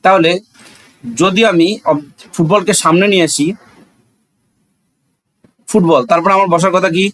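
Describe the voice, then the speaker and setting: High-pitched Bangladeshi male teacher. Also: steady tone, measured pace, pauses often, poor audio. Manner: didactic, repeats key phrases. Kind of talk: explanatory grammar lesson with rhetorical questions.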